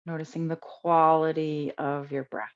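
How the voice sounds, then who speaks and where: A woman teaching a guided breathing meditation in a calm, unhurried way with long pauses between instructions. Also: low voice, highs cut off.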